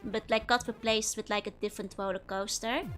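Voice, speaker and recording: A woman's voice: mid-range voice, steady tone, brisk, a bit bright, soft background music. A woman with a Dutch accent, streaming and chatting casually with viewers, giggling often and rambling with lots of fillers. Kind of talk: casual chat to live viewers.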